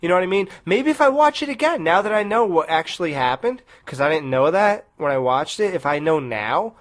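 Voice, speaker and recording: Slightly high guy rambling casually about movies. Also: expressive, fairly quick. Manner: rambling, laughing, trailing off. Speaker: podcast co-host.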